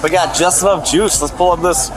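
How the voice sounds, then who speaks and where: Casual, higher-pitched policeman. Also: steady tone, a little fast, poor audio. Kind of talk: casual on-duty talk.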